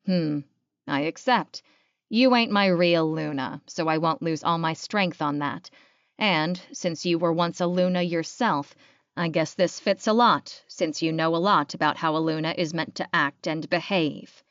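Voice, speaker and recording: Woman reading as a measured, businesslike werewolf alpha. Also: deep voice, a bit bright, no room sound, dull audio. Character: businesslike male werewolf alpha proposing deals.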